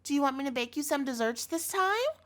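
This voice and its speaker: Yandere girlfriend, played by a woman, audio roleplay. Cloying, controlling devotion; high-pitched, very animated delivery, pleading and doting. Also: fairly quick. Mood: wounded, pleading. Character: sweetly possessive yandere girlfriend, a doting chef captor.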